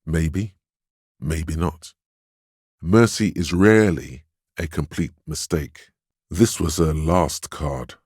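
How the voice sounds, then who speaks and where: The inner voice of willpower in a video game. It is an extremely deep, slightly husky male voice, stern and weary, recorded dry and close. It narrates and admonishes deliberately, with grave, wry protectiveness. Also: a little mellow, dry recording, exaggerated voice. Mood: exasperated, grave. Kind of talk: dramatic second-person game narration and inner-voice dialogue.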